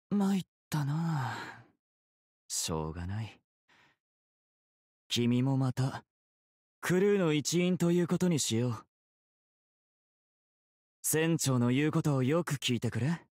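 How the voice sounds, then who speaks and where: A man's voice: a little high, darkish tone, dry recording, clean studio sound. A man playing an elegant, teasing pirate captain in a game, polite, theatrical and self-assured. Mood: teasing, commanding. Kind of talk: Japanese game character voice acting.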